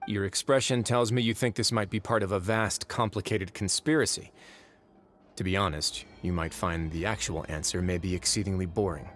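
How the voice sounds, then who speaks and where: Low-voiced, composed man in a video game. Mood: calm, storytelling. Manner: measured, articulate, calm, dry storyteller. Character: composed, world-weary man in charge of an undersea prison fortress. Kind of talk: English video game voice acting, expository dialogue. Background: game music behind the voice.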